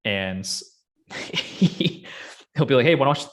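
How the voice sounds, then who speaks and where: A man's voice: medium pitch, animated, measured pace, a bit bright. Casual, rambling man chatting about movies. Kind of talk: casual chat about films and games.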